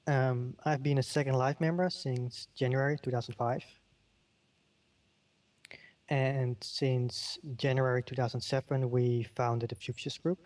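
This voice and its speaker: A middle-aged man with a Dutch accent gives a keynote, pausing often with ums and uhs. His voice sits at an ordinary middle pitch. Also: little pitch movement, a little mellow. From Netherlands. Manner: halting, many ums and uhs. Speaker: keynote speaker. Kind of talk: conference keynote in a virtual world.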